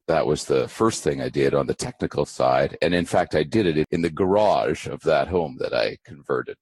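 A middle-aged man from Canada, a guest giving measured, emphatic business advice in a podcast interview. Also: rumbling low, darkish tone, husky, no room sound. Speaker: entrepreneur guest.

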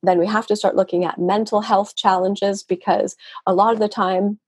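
A woman's voice: mid-range voice, fairly even intonation, moderate pace, a little mellow, husky. A woman, guest on a podcast interview over a video call, talking conversationally with fillers and restarts. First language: English. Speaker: guest, nutrition consultant.

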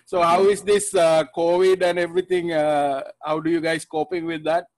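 A man hosts a relaxed podcast chat with a light, high voice. He is friendly and easygoing, filling pauses with "uh" and laughing softly. The sound is dry and close. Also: no echo. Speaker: podcast host. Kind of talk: casual interview. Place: Manila.

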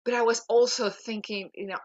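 A woman's voice: fairly high pitch, fairly even intonation, brisk, muffled recording. A woman from Venezuela, a native Spanish speaker with a Venezuelan accent, chatting as a podcast guest. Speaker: podcast guest, LinkedIn coach. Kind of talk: conversational interview answers.

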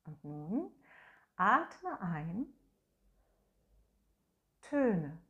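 A woman leading a guided voice and breathing meditation in a slow, soft, soothing way, humming tones to demonstrate. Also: on the low side, very animated, lo-fi sound. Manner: slow, soft, soothing instructions with long pauses; hums and sings sustained tones as demonstration.

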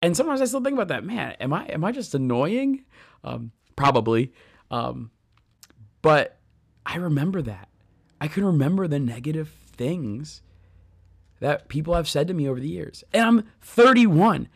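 An earnest man in his thirties talks through scripture in a conversational way, turning to the listener with stories from his own life. His voice sits a bit high and carries a gravelly fry. The sound is dry, with no room echo. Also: very animated, moderate pace. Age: 30s. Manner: addresses listeners directly. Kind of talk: devotional podcast talk on scripture.

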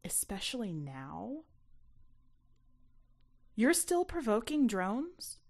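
A woman's voice: fairly high pitch, sing-song, slow pace, pitched above the performer's own voice, exaggerated voice. A woman voicing a curious teenage girl in a story, probing and direct. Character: curious, blunt teenage girl raised on Mars.

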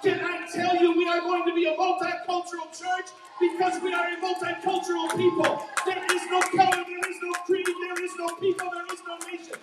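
High-voiced man preaching in an echoey church. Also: monotone, fairly quick, hardly any pauses, loud music behind the voice. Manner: impassioned preaching, often shouting. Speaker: pastor. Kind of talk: sermon. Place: church sanctuary. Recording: very echoey hall, mic far off.

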